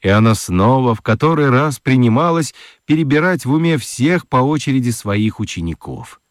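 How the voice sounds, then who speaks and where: A man's voice: mid-range voice, lively intonation, moderate pace, no room sound, studio quality. A man narrating a children's radio story expressively from a script.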